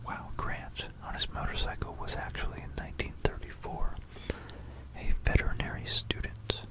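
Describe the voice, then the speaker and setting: An ASMR narrator speaks entirely in a breathy, unvoiced whisper. The delivery is a hesitant, meandering history talk, with phrases trailing off and repeated. It is captured close on a binaural microphone. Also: normal speed, rough recording.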